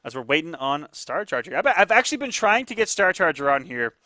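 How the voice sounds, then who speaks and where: Man, esports host, excitable, stumbling repeats, higher pitched, bright tone. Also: brisk, no room sound. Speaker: tournament host and commentator. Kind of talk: live esports commentary.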